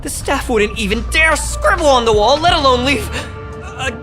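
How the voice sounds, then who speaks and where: Swooping, nervous young-sounding engineer. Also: male voice, extremely high pitch, normal speed. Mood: flustered. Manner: hesitant, stammering, earnest and excitable. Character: nervous, self-deprecating male cybersecurity engineer on space station. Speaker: video game character voice. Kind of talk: expressive video game dialogue acting. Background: background music.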